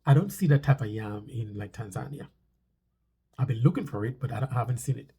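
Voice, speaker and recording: A man's voice: mid-range voice, expressive, a little fast, dark tone, slightly rough. A man with a stuffy nose talks casually to viewers as a vlog host, explaining things conversationally and repeating phrases for emphasis.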